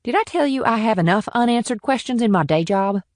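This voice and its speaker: A woman's voice: a little high, lively intonation, fairly quick, darkish tone, no echo, clean studio sound, close to an everyday voice. A woman playing a tough, blunt, sardonic small-town policewoman in an audiobook drama. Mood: irritated. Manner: blunt, direct.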